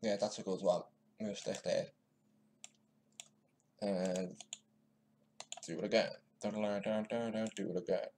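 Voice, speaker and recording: A man's voice: on the low side, fairly even intonation, slow pace, pauses often, a little hiss or hum. Muttering man, mocking commentary. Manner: mocking, muttering to himself, trails off quietly. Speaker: video maker commenting on news clips. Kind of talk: casual running commentary while editing.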